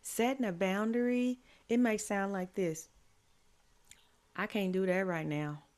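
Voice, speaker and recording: Slow-talking raspy woman coach. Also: medium pitch, fairly even intonation, darkish tone. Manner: slow, repeats key lines, affirming "mm-hmm" asides. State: voice a bit hoarse. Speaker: life coach. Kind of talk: encouraging faith-based coaching talk.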